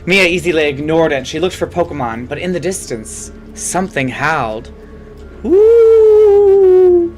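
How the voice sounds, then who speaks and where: A host performs a comedic story reading in a mid-pitched voice. The delivery is theatrical, with asides and self-corrections. Music plays softly underneath. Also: moderate pace, hardly any pauses. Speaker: fan fiction reader and host.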